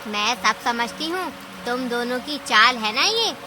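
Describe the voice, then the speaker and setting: A woman's voice: on the high side, expressive, measured pace, noisy background. A woman playing a greedy, scheming, easily panicked aunt animal in a cartoon story, with theatrical scolding exclamations. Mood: angry, scolding. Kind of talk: Hindi cartoon story acting.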